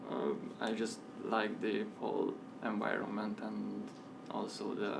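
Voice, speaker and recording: A young man from Hungary in his twenties, Hungarian native, giving quiet brief answers as a panel guest. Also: completely flat delivery, a little slow, gravelly. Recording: distant mic with rumble.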